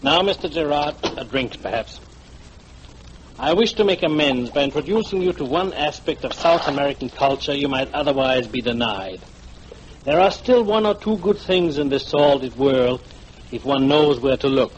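A man's voice: mid-range voice, lively intonation, normal speed, highs cut off, natural voice. Oily, flowery fat fixer played by a man, middle-aged, ingratiating, 1940s radio drama with background noise. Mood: unctuous, apologetic. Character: sly, oily, florid fat middle-aged tourist guide. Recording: noisy 1940s radio broadcast.

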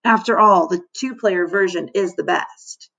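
A woman voicing a brash fourth-grade boy in a storybook, complaining loudly and insistently. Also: fairly low pitch, measured pace, gravelly, lo-fi sound, heavily acted. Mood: indignant.

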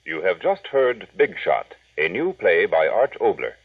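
A man's voice: deep voice, sing-song, a little slow, slightly rough, no room sound, far from the mic, phone-quality sound. A man announcing scripted credits in a 1940s radio style, on a vintage broadcast recording.

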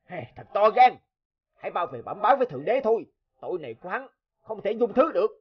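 A man plays a loyal cartoon angel warrior, high in pitch and animated. The manner is indignant and emphatic yet deferential. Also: quick pace, slightly rough, lo-fi sound, exaggerated voice. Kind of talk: cartoon voice acting.

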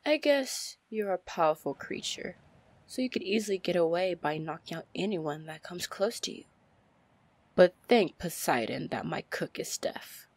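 A woman playing a seductive, commanding female pirate lord in an ASMR fantasy roleplay, with a teasing manner and breathy near-whispers. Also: fairly low pitch, lively intonation, moderate pace, darkish tone, no echo. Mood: flirtatious, amused. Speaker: roleplay audio performer.

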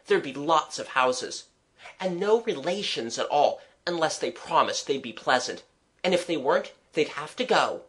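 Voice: medium pitch, big pitch swings, moderate pace, fairly bright tone, voice pushed up. A reader voicing an eager, imaginative young boy in a storybook, chattering earnestly and confidingly. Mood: warming, eager.

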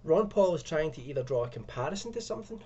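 A man's voice: a little high, expressive, quick pace, dark tone, slightly rough, lo-fi sound. A young man giving an exasperated, sarcastic commentary from a room at home, speaking into a webcam. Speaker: video commentator. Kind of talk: ranting video commentary.

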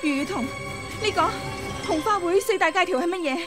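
Radio drama casting: proud martial heroine, high expressive voice, faint music behind. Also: female voice, fairly quick. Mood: stern, indignant. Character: proud swordswoman, wife, tender then sternly indignant. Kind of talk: Cantonese radio drama acting.

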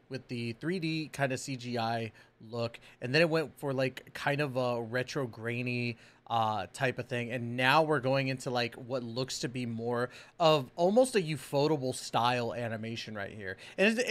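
A man in his thirties giving excited, slangy, casual reaction commentary as a streamer, close on the mic. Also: mid-range voice, normal speed, hardly any pauses. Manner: animated, exclamatory, slangy. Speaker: reaction video host, streamer. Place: small indoor home room with shelves. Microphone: close microphone on boom arm.

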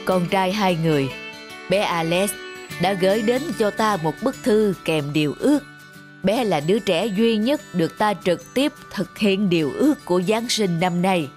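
A woman's voice: medium pitch, moderate pace, natural voice. A woman voicing an old Santa Claus for a cartoon, stern yet kindly, speaking with authority. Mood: solemn. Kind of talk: dubbed cartoon storytelling. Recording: music under the voice. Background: background music.

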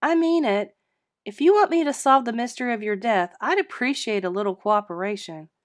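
A woman's voice: on the high side, animated, fairly quick, voice pushed up, close to an everyday voice. A woman narrator voicing an exasperated woman innkeeper in a storybook, firm and demanding. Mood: exasperated, insistent. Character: exasperated woman innkeeper scolding an absent ghost.

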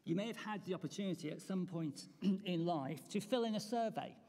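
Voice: medium pitch, lively intonation, fairly quick, mellow. A preacher giving an Easter sermon in a reflective tone, asking the congregation rhetorical questions. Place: church. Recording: long church reverb.

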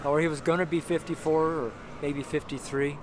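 Middle-aged male street preacher, slightly high-pitched, loose and repetitive delivery, close camera outdoors with clear street noise. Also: fairly even intonation, moderate pace. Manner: rambling, repeats himself, many restarts and fillers. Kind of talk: informal outdoor sermon to camera. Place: outdoors on a city sidewalk by a brick wall. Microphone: camera close up, handheld. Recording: noisy outdoor street recording. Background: street traffic noise.

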